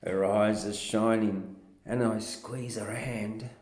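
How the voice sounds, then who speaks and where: An Australian man giving a theatrical, drawn-out recitation of comic vernacular verse in character as a larrikin. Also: on the low side, steady tone, darkish tone. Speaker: poetry reader. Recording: roomy, echoing sound.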